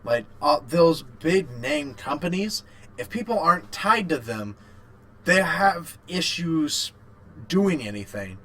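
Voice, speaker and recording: A man co-hosting a podcast in casual chat, rambling and haltingly repeating his phrases. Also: on the high side, sing-song, fairly bright tone, poor audio. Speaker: podcast co-host. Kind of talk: casual chat about video games.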